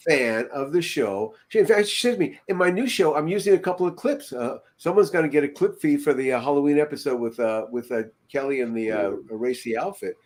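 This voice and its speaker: A man's voice: fairly high pitch, expressive, brisk, few pauses. An older man chatting casually on a podcast video call, telling anecdotes in an emphatic way and repeating words for emphasis. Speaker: podcast co-host. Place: room at home, video call.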